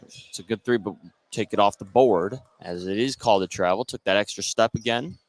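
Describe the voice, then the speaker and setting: A man's voice: on the low side, fairly quick. An American man doing live play-by-play basketball commentary for radio. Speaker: play-by-play announcer. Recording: noisy gym broadcast. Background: bouncing basketballs and gym noise.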